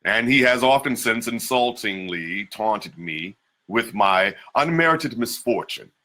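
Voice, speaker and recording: A man giving a measured, deliberate dramatic reading of an old first-person memoir. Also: fairly low pitch, animated, bright, forceful tone. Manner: measured, deliberate delivery with slowed emphases. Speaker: reader.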